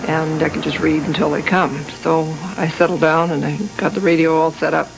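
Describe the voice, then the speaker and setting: A man's voice: fairly high pitch, level tone, brisk, creaky, soft background music. A man recounting events as a witness in a documentary interview, on noisy old TV audio.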